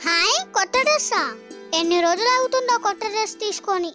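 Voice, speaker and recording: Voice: extremely high pitch, fast talker, sharp tone. A performer voicing a cheerful, helpful and affectionate village child in a moral story cartoon. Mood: excited, happy. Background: children's background music.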